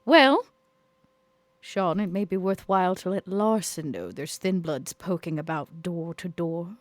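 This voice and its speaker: A player speaking slowly and deliberately, in character during a tabletop game. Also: medium pitch, expressive, no room sound. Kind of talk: in-character play.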